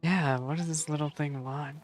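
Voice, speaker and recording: A man's voice: on the high side, fairly even intonation, moderate pace. A man hosting a casual game reaction playthrough, musing aloud in an informal way. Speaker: gaming reaction host. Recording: game music faintly behind.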